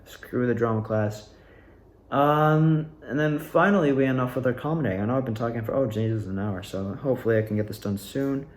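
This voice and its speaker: A man's voice: mid-range voice, fairly quick. Young man venting in an echoey room. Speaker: student actor. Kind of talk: casual rambling personal recap. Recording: roomy, echoing room sound.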